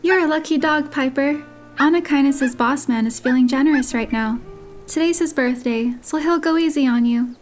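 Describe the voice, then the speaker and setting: A woman's voice: high voice, normal speed, close to an everyday voice. A woman voicing a playful, tender young heroine who teases her beloved in romance game dialogue, with guitar music behind. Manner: teasing, affectionate.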